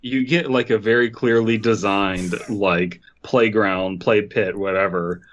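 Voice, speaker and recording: A man's voice: on the low side, fairly even intonation, unhurried. A man co-hosting a comedy podcast, talking casually in a rambling, repetitive way. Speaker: podcast co-host. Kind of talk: casual comedic chat and story reading. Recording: dull lo-fi microphone sound.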